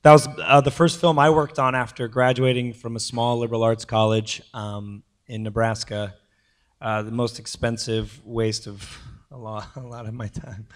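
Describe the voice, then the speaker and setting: A man, a film producer who has lost part of his voice, speaking at a panel after a premiere in a halting way with many fillers. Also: mid-range voice, flat delivery. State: losing his voice. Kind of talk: post-premiere panel talk.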